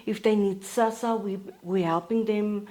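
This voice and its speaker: A South African woman in her forties, a counselor talking as a podcast guest in a steady, matter-of-fact way with frequent pauses. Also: mid-range voice. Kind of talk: casual interview talk.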